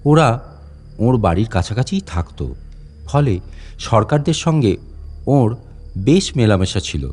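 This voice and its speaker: A man's voice: medium pitch, big pitch swings, a little slow, darkish tone. A man reading a story dramatically in the first person as a chatty, deferential guide, conversational and confiding. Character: talkative, deferential fort tour guide confiding old gossip. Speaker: audiobook story narrator.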